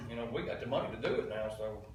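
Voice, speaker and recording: A man's voice: lowish voice, lively intonation, quick pace. A man with a Southern US accent speaking informally as a committee member, folksy and repeating himself. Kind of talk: informal meeting discussion. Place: indoor public meeting room. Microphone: distant room microphone. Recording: very echoey room, distant, clear noise.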